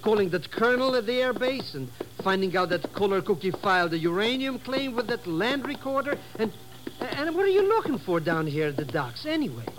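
A man's voice: high voice, brisk, background noise. An actor playing a brash, girl-chasing, bumbling sidekick in slangy 1950s radio comedy-drama style. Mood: puzzled, grumbling.

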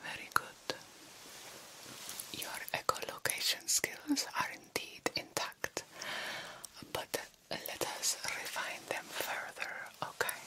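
A woman playing a soothing, formal female vampire doctor in a breathy ASMR whisper, speaking with deliberate, old-fashioned phrasing. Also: natural voice, poor audio. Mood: gentle, instructing. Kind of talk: ASMR roleplay.

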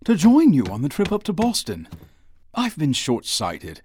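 An eccentric writer bursting with courtly good cheer in a radio play. His speech is florid and theatrical, with huge melodic leaps in a higher-than-usual voice. A faint noise sits behind him. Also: wildly swooping pitch, a little slow, mellow. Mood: eager, courtly. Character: eccentric male writer, newly exuberant, courtly and effusive. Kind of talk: radio drama acting.

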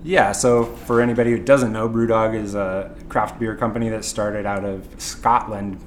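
A man's voice: fairly low pitch, steady tone, normal speed. Joking man podcast co-host. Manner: joking, self-described beer geek, rambling. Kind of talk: casual podcast chat. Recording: faint background noise.

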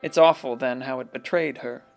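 An actor playing a reflective, wry small-town narrator reminiscing in a radio play. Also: male voice, mid-range voice, moderate pace, lightly acted. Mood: wry, fond. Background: music.

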